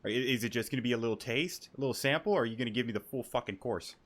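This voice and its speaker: A man's voice: mid-range voice, very fast. A man giving casual, rambling and profane commentary while playing a video game. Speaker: gaming streamer. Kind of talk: casual let's-play commentary.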